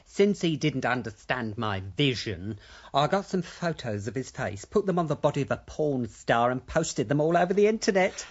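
An actor playing a camp, catty, theatrical younger English man in a radio drama, with an arch drawl and a breathy voice. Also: mid-range voice, animated, moderate pace, lo-fi sound. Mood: self-pitying, arch. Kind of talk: BBC radio drama acting.

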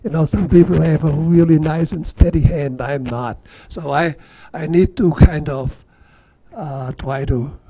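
A middle-aged man with an Austrian accent giving a casual, halting workshop demonstration, with self-deprecating asides. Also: fairly high pitch, mellow, no echo. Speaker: woodturning demonstrator. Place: club meeting room.

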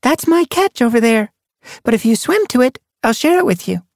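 A man's voice: very high voice, sing-song, normal speed, dry recording, clean studio sound, pitched above the performer's own voice, heavily acted. A man voicing an eager young female apprentice cat who urges and coaxes her friend. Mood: coaxing. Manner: urgent, pushy.

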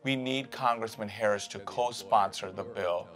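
A man's voice: on the low side, normal speed, a bit bright, faint music behind. A man who runs franchise hotels, speaking in a documentary interview.

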